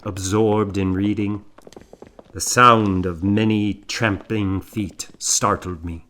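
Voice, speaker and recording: A man narrating a historical novel in the first person, with a measured, dramatic storytelling manner. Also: lowish voice, fairly even intonation, bright, forceful tone, a little hiss or hum. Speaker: audiobook narrator.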